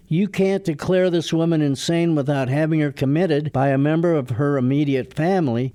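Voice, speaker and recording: A mellow, fairly high male voice, an actor playing a stubborn lawyer son in a radio drama. He is feisty and wry, talking in an argumentative, sardonic manner. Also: lightly acted.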